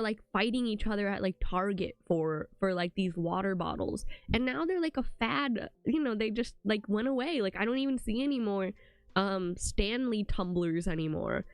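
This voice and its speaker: A woman's voice: mid-range voice, smooth, dry recording. A young woman with a congested voice chatting casually to her live stream, rambling with lots of "like" and "um" fillers. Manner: rambling, full of "like" and "um".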